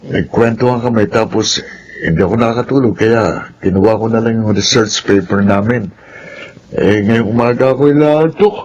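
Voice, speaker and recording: Lalaking gumaganap na malambing at makulit na binatang anak. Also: male voice, lowish voice, moderate pace, dull audio, natural voice. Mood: sleepy, playful. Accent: Filipino. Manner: wheedling, boyish, excitable. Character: cheerful, boyish college-age son, playful and affectionate. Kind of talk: Filipino radio drama acting.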